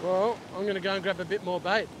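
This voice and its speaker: High-voiced Australian man outdoors by the surf. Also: fairly even intonation, fast talker. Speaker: fishing instructor and vlogger. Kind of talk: casual instructional talk to camera. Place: open ocean beach, outdoors. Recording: outdoor wind and surf noise. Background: waves, surf and wind.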